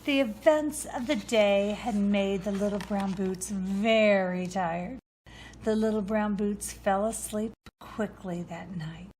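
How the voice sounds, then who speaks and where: A woman reading a children's storybook aloud in a deliberate way. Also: medium pitch, darkish tone, a little hiss or hum. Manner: slow, deliberate narration. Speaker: storybook reader.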